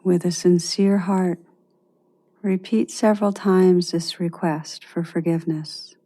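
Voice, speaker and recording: A woman guides a meditation with a mellow, relaxed tone. She speaks very slowly and gently, leaving long pauses. Her delivery stays even and calm in pitch.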